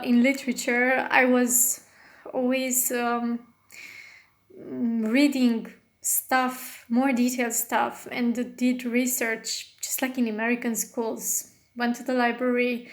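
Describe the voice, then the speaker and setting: A woman from Romania talks casually about her own life in non-native English. She speaks in a fairly high, even voice with little rise and fall. She rambles, fills pauses with ums and often breaks off and restarts a word. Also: creaky. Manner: rambling, with frequent fillers and self-corrections. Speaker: astrologer. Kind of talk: casual personal monologue.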